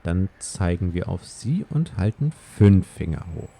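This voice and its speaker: A German man hosts a let's play with casual commentary. His voice is low and very dark and soft, and he takes his time. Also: big pitch swings, unhurried, gravelly.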